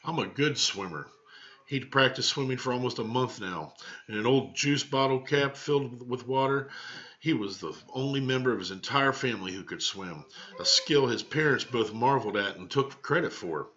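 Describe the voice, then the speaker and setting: An American man reads a children's book aloud like a teacher, pausing for casual asides. His voice is bright and forceful, and the webcam audio is slightly dull and lo-fi. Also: mid-range voice. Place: small home room, indoors. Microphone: webcam at desk distance.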